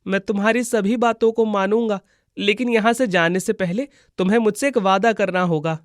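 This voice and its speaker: A man's voice: high voice, normal speed, no room sound, clean studio sound, lightly acted. A man voicing an earnest, emotional schoolboy devoted to his friend, in a children's moral-story cartoon. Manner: pleading, earnest.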